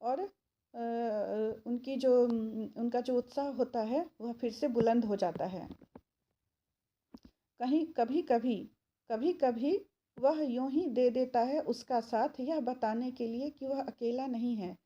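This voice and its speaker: A woman teacher explaining a poem line by line, repeating phrases and pausing often with fillers. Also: a little high, warm tone. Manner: slow, repeats phrases, many filler pauses. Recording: dull lo-fi sound with faint noise.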